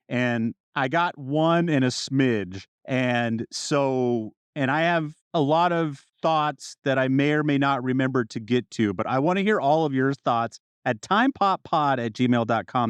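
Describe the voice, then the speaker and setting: A male podcast host with a fairly high voice talks casually. His pitch moves around a lot, and the sound is dry with little room to it. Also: clear, resonant tone, dry recording. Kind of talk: casual chat.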